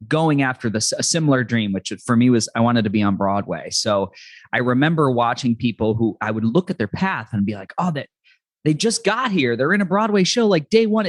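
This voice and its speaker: A man's voice: medium pitch, no echo. Earnest reflective man hosting a podcast. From New York City. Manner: earnest, reflective, talks in long run-on thoughts. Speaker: podcast host. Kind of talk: casual interview chat.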